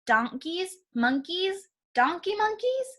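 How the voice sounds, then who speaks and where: Woman as an exasperated high-voiced crayon. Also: big pitch swings, takes it slowly, exaggerated voice. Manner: exasperated, complaining. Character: exasperated crayon fed up with a baby owner.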